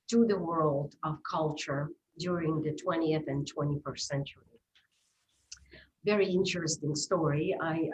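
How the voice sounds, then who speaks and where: Woman interview host, lowish pitch, courteous and measured, roomy echo with a little noise. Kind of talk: formal interview introduction.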